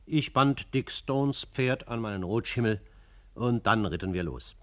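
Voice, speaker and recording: A man plays a brave, composed adventurer telling his frontier story for a radio drama. His voice is fairly low and very dry, with measured literary phrasing. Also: no room sound, close to an everyday voice. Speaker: first-person narrator. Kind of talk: dramatic scripted narration.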